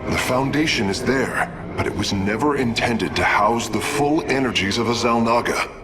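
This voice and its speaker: A man plays a studious alien engineer from a video game. His mid-range voice is measured and earnest, with analytical formality. Heavy background noise surrounds it. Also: medium pitch, lightly acted. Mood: analytical, humble. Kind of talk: dramatic video game cutscene acting.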